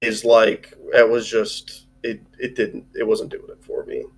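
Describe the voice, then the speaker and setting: A man talking casually as a host reviewing a film, rambling and halting, with a loose, sweary way of speaking. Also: fairly low pitch, level tone, gravelly. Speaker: movie review show host. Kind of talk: casual chat, film discussion.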